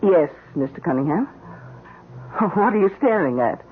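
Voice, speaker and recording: An actress playing a coldly confident, scheming housekeeper in a radio mystery, speaking formally and with sly insinuation. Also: female voice, lowish voice, expressive, normal speed, lightly acted. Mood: coolly smug. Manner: formal, insinuating, coolly assured. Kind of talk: radio mystery drama acting. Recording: muffled, noisy old radio broadcast.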